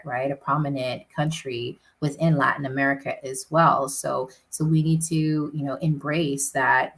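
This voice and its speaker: A woman's voice: very deep voice, creaky, a bit bright, low-quality recording. A woman city councilor answering interview questions earnestly, often tagging points with "right?".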